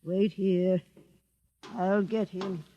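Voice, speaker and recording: An actor playing a wary, terse gatekeeper in a vintage radio drama. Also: male voice, high-pitched, flat delivery, extremely slow, warm tone. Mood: suspicious. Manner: terse, suspicious, questioning. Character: wary gatekeeper of a holy man's dwelling. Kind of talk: 1950s radio drama acting. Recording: dull, noisy old radio recording, long reverb.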